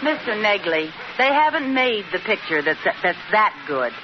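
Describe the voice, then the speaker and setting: An actress playing a wisecracking, coaxing housewife in old radio sitcom style. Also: female voice, mid-range voice, big pitch swings, moderate pace, lightly acted. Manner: coaxing, wry, patient. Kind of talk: 1940s-50s radio sitcom acting. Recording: dull, noisy old radio recording. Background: studio audience.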